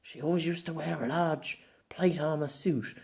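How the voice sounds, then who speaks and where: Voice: mid-range voice, steady tone, normal speed, vocal fry, a little mellow, voice pushed up, lightly acted. A performer voicing an exasperated, sceptical male storyteller with a fussy, formal way of talking in an audiobook. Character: exasperated, sceptical storyteller man, feeling old.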